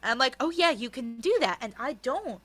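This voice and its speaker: A woman's voice: sits high, animated, moderate pace, fairly bright tone, husky, faint music behind. A woman being interviewed as an author, talking candidly and emphatically in a conversational, storytelling way.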